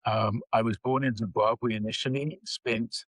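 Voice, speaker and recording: An older man with a South African accent, speaking as an interview guest in a measured way with frequent pauses. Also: mid-range voice, steady tone, a bit bright, breathy edge, dry recording, rough recording. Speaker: guest psychology researcher. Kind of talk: interview conversation.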